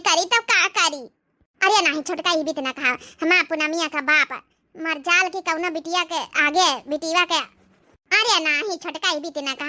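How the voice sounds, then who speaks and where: A woman's voice: very high voice, sharp tone. A woman voicing a dramatic, gossipy village housewife with an Awadhi accent, theatrical in a comedy cartoon. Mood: trusting, uneasy.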